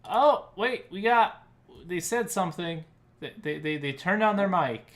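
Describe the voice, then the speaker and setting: A man hosting a casual stream, bantering in an animated, argumentative way. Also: high voice, a little slow. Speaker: podcast host and streamer.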